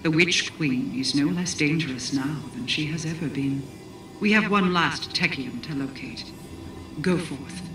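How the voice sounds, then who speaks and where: A woman playing a regal, guarded queen in a video game, formal, measured and commanding. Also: low-pitched. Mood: stern, commanding. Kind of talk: video game cutscene acting. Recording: echoey, distant, loud music behind.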